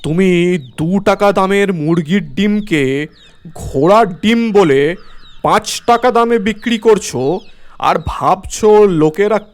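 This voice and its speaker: Stern village chief for a cartoon, played by a man: high-pitched, unhurried, indignant, lays down the law. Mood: indignant, scolding. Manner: scolding, authoritative, drawn-out emphatic delivery. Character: stern, indignant village headman passing judgement. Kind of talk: cartoon voice acting.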